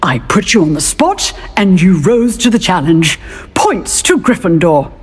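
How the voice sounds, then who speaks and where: A woman's voice: fairly low pitch, sing-song, measured pace, husky, exaggerated voice. An actress playing a stern, exacting older British witch professor in a video game, instructing with formal authority. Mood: firm, approving. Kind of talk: scripted video game acting. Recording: reverberant hall with music behind.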